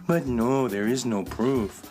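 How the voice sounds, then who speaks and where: A man's voice: mid-range voice, darkish tone, soft background music, close to an everyday voice, poor audio. Portentous shopkeeper of mysterious legends played by a man. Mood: knowing, wistful. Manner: storytelling, measured, portentous. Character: knowing, mysterious male music box shopkeeper. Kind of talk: children's radio play acting.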